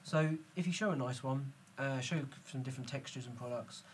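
A man's voice: medium pitch, brisk, a little hiss or hum. A man hosting a podcast panel, giving strong opinions and often repeating words as he starts sentences. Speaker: host. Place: dim studio lounge with screen.

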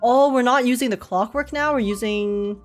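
A woman giving casual reaction commentary as she plays a video game, chatty and thinking out loud. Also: a little high, moderate pace. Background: game music.

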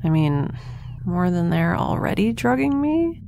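A woman's voice: fairly low pitch, very animated, a little slow, gravelly, dark tone, a little hiss or hum, heavily acted. An actress playing a sarcastic young woman stranded in space, recording an audio log. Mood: wry. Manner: wry, sarcastic. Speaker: narrator of her audio log. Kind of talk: audio drama acting.

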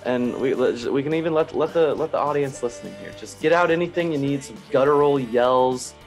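A man hosting a casual fan podcast, his voice slightly raspy after a day of yelling, talking in an animated, rambling, self-mocking way. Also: medium pitch, brisk, faint music behind. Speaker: podcast host.

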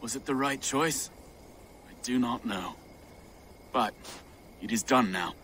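A conscience-stricken, tender-hearted game character. His mid-pitched delivery is hesitant and sorrowful, with ambient noise behind. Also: slow pace, fairly bright tone. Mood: remorseful. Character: troubled, tender-hearted Saxon man of conscience. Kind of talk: dramatic video game cutscene acting.

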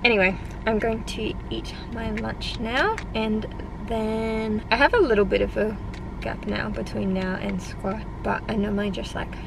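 A woman's voice: medium pitch, steady tone, unhurried, creaky, background noise. A young Australian woman in her twenties, a personal trainer chatting casually to a camera on the dashboard of her parked car, rambling and amused at herself. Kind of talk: casual vlog chat to camera.

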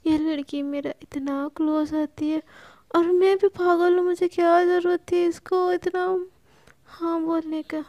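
A woman's voice: extremely high pitch, little pitch movement, measured pace, mellow, no room sound. A woman voicing a hot-tempered but caring young woman in a story, stammering and repeating words. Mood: exasperated.

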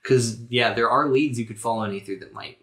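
A man's voice: mid-range voice, fairly quick, fairly bright tone. Guy running a tabletop game, mid-range voice, just chatting the story through. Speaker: game master. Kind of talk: casual tabletop game narration.

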